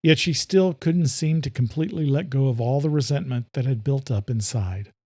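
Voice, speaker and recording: A man's voice: medium pitch, normal speed, a little mellow, dry recording. A man narrating scripted horror-thriller fiction as a storyteller, close on the mic.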